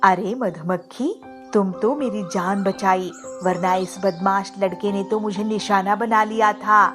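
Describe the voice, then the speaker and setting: A woman's voice: mid-range voice, fairly even intonation, a little slow, over music, close to an everyday voice. A woman voicing a kind, helpful female pigeon in a children's cartoon story, speaking in a friendly, earnest way. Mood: thankful.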